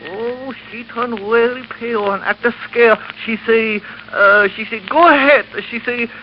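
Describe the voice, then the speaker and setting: A nervous shopkeeper in a vintage radio thriller. The voice is very high and hesitant, picking through broken English. The old recording is dull, with faint noise. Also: male voice, steady tone, unhurried. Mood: halting, anxious. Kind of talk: 1940s radio acting.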